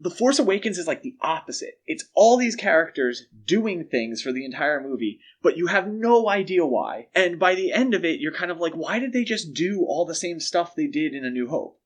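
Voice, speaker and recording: A man's voice: on the high side, big pitch swings, normal speed, few pauses. A man co-hosts a casual film discussion podcast. He explains his points step by step in a conversational way, putting emphasis on the key words as he builds an argument. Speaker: podcast host.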